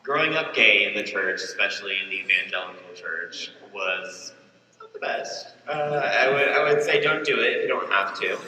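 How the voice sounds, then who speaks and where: A man's voice: on the low side, animated, normal speed, bright, forceful tone, distant mic, slight background noise. A man speaking candidly as a panelist, joking and rambling, recorded from afar in a convention hall. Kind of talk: panel discussion. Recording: echoey hall, mic from afar.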